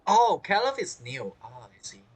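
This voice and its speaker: Playful male streamer with animated pitch. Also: moderate pace, breathy edge. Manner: playful, giggly, reactive. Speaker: game streamer. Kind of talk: casual live gameplay commentary. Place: indoors at a streaming setup.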